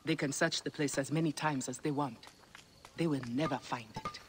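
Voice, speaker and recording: An actress playing a proud, calm and assured Egyptian wife and mother in a game cutscene. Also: sits low, normal speed, a little hiss or hum, natural voice. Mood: composed, assured. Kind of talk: dramatic game cutscene acting.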